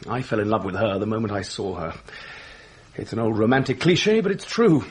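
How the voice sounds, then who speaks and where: An actor playing a blunt, earnest English doctor in a radio drama, with a husky voice and an insistent manner. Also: male voice, fairly low pitch, sing-song, brisk. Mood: rueful, lovelorn.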